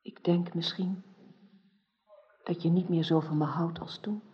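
A woman's voice: on the low side, steady tone, measured pace, close to an everyday voice. An actress playing a hurt, pleading, lovelorn queen in a radio drama, speaking with open emotion. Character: young queen, wounded, pleading, possessive in love. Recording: dull old radio drama recording.

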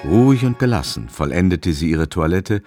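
A man's voice: deep voice, big pitch swings, normal speed. A man narrating a literary radio drama as a storyteller. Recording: clear music behind the voice. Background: music.

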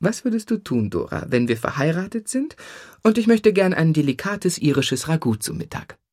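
An actor in a German radio play playing an earnest, dreamy young man who narrates his life in a measured, tender, reflective way. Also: on the high side, very animated, darkish tone, no room sound, studio quality. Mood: fond, reflective. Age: young adult.

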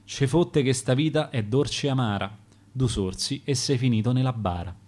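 A man's voice: medium pitch, moderate pace, darkish tone. A man with a Roman accent reciting his own dialect sonnets in a rhythmic, theatrical way for radio theatre. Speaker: poet reading his own verse.

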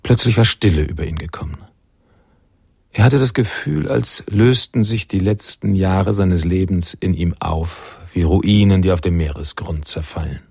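A sombre noir storyteller narrating a radio drama. His voice is deep and husky, recorded very dry, with a measured, reflective delivery. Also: darkish tone, no room sound. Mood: grave, reflective.

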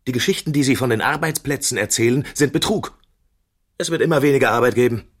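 A man's voice: medium pitch, fast talker, slightly rough, close to an everyday voice. An actor playing a stubborn, embittered middle-aged father in a radio drama, insistent and terse. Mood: bitter, agitated. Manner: insistent, terse, emphatic repetition.

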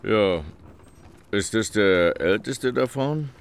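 An actor playing a dry older gentleman in radio drama dialogue, with measured, ornate phrasing. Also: on the low side, animated, gravelly, a little hiss or hum, exaggerated voice.

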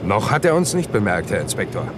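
An actor playing a deferential, eager, slightly naive detective's assistant in a German radio crime drama. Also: male voice, on the low side, big pitch swings, brisk, noisy background. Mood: alert. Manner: respectful, eager reporting to his superior.

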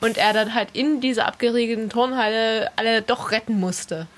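A woman with a fairly high voice talks casually as a podcast co-host. She reminisces with open enthusiasm, in the loose flow of a group conversation. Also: steady tone, normal speed.

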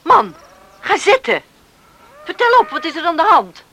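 Bright, expressive farm matriarch. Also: female voice, very high voice, unhurried, vocal fry, exaggerated voice. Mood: alarmed. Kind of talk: Dutch radio play acting. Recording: noisy radio play recording.

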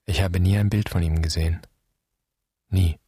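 A man's voice: steady tone, moderate pace, warm tone, dry recording. An actor playing a grave, bitter man pondering his dead father in a questioning radio drama monologue. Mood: mournful. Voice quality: husky, very deep.

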